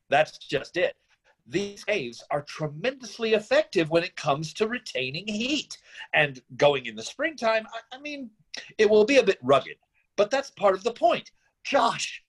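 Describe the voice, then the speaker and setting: An actor as an earnest, animated wandering father. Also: sits high, heavily acted. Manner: effusive, persuasive, halting with sighs. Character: Dawson, earnest free-spirited middle-aged wandering father. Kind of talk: staged screenplay reading.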